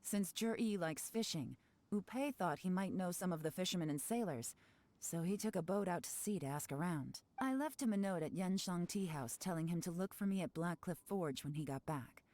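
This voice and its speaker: Brief: a woman as a poised game spy, low and mellow voice, soft music behind. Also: no echo, natural voice. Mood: commanding. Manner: calm, measured, knowing. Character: cool, composed woman intelligence agent. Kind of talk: game dialogue.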